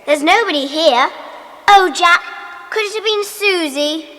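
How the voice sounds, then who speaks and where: A woman playing an excitable, indignant schoolgirl in a children's audio drama. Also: extremely high pitch, measured pace, big-room sound, exaggerated voice. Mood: indignant, suspicious.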